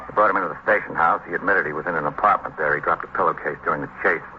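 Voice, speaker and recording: An actor playing a terse, businesslike American police lieutenant, reporting in clipped, matter-of-fact phrases in an old-time radio drama. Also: male voice, sits low, very fast, close to an everyday voice. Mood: terse, factual. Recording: muffled old radio recording, faint noise.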